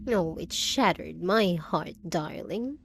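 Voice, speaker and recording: A woman's voice: on the low side, lively intonation, slow, vocal fry, heavily acted. A woman playing a possessive, unhinged undead bride in a roleplay audio drama, cooing endearments with theatrical, sinister sweetness. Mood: wounded, reproachful.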